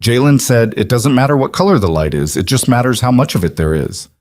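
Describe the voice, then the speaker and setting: A man's voice: mid-range voice, lively intonation, brisk, darkish tone, dry recording, clean studio sound, lightly acted. A man voicing an earnest, stubborn eight-year-old girl with a careful, reasonable way of talking in a narrated story. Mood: aggrieved, stubborn. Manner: careful, reasonable, matter-of-fact.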